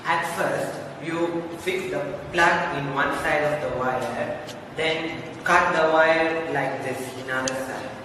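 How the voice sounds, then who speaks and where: A teenage boy from Sri Lanka demonstrates a craft project, pausing often and speaking slowly. The room is very echoey with a long reverb. He is captured by a camera phone at a distance, with clear background noise. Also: a little high. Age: teens. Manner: simple non-native phrasing with pauses. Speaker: student presenter. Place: classroom indoors.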